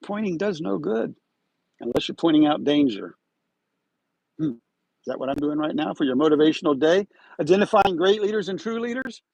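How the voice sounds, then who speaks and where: A man hosting a live stream from inside his parked car, giving a preachy, emphatic motivational talk with drawn-out pauses. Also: on the high side, animated, vocal fry, darkish tone, no room sound, lo-fi sound. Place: parked car with window open on a drizzly day.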